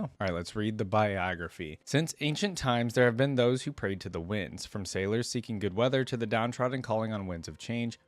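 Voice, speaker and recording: A man's voice: lowish voice, dry recording. A man hosting a reaction video, moving between casual, curious commentary and reading lore aloud in a conversational way.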